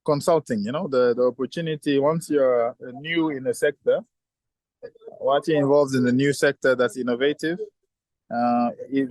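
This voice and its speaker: A man's voice: mid-range voice, dry recording. A man who is a chemical engineer and founder, answering interview questions thoughtfully with many restarts and fillers. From southern Africa.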